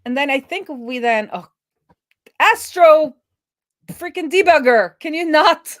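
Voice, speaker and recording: A woman's voice: sits high, lively intonation, unhurried, a bit bright. A woman thinking aloud in a chatty, casual way as she live-streams a coding session. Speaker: streamer and web developer.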